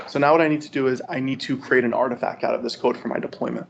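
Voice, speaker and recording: A man's voice: medium pitch, steady tone, very fast, vocal fry. A man presenting an informal technical walkthrough to a study group, conversational and often checking in with "right?". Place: group meetup with video conference call. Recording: dull, lo-fi audio with background noise.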